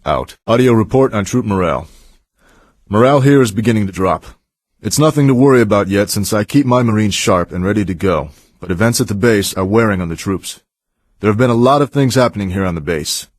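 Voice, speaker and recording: An actor playing a stern, steady male Marine sergeant, giving a firm, formal audio report in a video game. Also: fairly low pitch, no room sound. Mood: brisk, confident. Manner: firm, formal, matter-of-fact.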